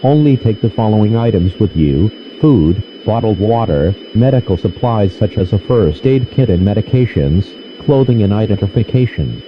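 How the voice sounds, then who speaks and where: A man's voice: lowish voice, animated, normal speed, few pauses. A man's stiff, synthetic voice reading a formal scripted emergency announcement over a lo-fi, noisy radio broadcast. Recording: dull lo-fi radio with clear noise.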